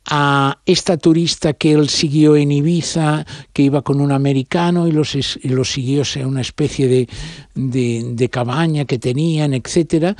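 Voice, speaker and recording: A man's voice: on the high side, a little mellow, no echo, clean studio sound. A man from giving an unscripted interview as a film director, explaining discursively and searching for his words. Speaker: documentary director interviewed as guest.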